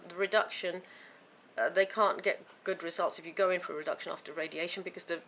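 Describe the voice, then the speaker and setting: A woman vlogging to camera from home, with a croaky voice from a sore throat, chatting in a rambling way with many fillers and restarts. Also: lowish voice, bright, forceful tone. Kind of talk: casual chat to camera.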